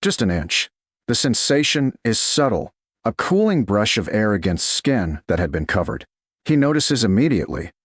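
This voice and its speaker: Low-pitched male narrator with very lively intonation. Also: normal speed, no room sound, studio quality. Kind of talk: scripted audiobook narration of a romance.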